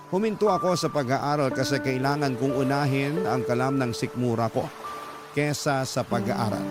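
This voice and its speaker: A man's voice: mid-range voice, close to an everyday voice. A man acting a grieving young narrator who tells his life story earnestly and sorrowfully in a radio drama. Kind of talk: dramatized first-person letter narration. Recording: loud music bed under voice.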